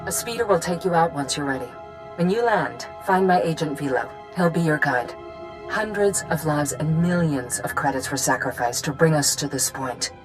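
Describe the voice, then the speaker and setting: Low-voiced, earnest woman governor. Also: lively intonation, moderate pace, over music. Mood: grave, urgent. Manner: formal, earnest, rousing. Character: determined woman colonial governor rallying a hero. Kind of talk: video game cutscene acting.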